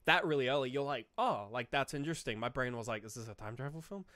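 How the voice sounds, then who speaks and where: A man with a medium-pitched voice chats about film as a podcast co-host. He is informal, slipping into quoted imaginary reactions and the odd swear word, and the sound is very dry. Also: fast talker, fairly bright tone, no room sound. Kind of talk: casual film discussion.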